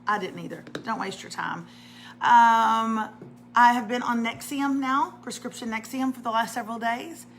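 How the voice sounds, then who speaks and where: A middle-aged woman with a raspy voice, co-hosting a casual morning chat and clearing her throat often. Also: a little high, moderate pace, a little hiss or hum. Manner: chatty, self-mocking, frequent throat clearing. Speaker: co-host.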